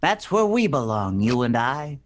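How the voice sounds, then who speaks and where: A man acts out a cocky young sweetheart from an old radio play. He woos in a suave, self-assured, old-fashioned way and takes his time. His pitch moves around a great deal, over a clearly noisy recording. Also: sing-song, unhurried. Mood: smooth, romantic. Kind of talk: cold-read radio play acting.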